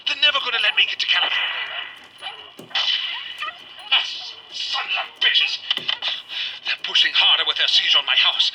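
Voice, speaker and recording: A man's voice: high voice, very animated, bright, forceful tone, slightly rough, exaggerated voice. An actor playing a terrified but defiant man under siege, stammering through a recorded message in an audio drama. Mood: frightened, shaken. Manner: stammering, halting, pleading. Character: besieged, terrified rural man, defiant and desperate. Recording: noisy old home recording.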